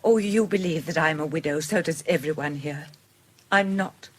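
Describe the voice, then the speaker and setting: An actress playing a gentle, independent middle-aged British woman in a period radio drama, speaking earnestly and tenderly with careful articulation. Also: low-pitched, normal speed. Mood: sorrowful, loving. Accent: British English.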